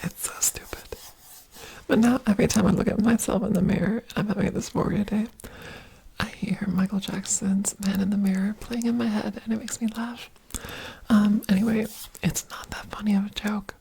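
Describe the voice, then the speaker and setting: A woman whispering in an earnest ASMR talk, breathy, with giggly asides. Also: mid-range voice, steady tone, normal speed, dark tone, a little hiss or hum, poor audio. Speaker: ASMR creator.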